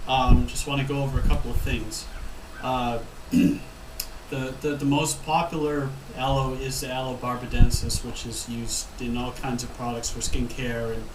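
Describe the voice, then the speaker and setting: A man's voice: mid-range voice, level tone, normal speed. Stuffy-nosed man on a windy patio. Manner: rambling, self-correcting, frequent ums. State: stuffed up from a head cold. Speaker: gardening vlogger. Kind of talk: casual talk to camera. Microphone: camera mic from a few feet away. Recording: roomy, distant camera audio with wind noise.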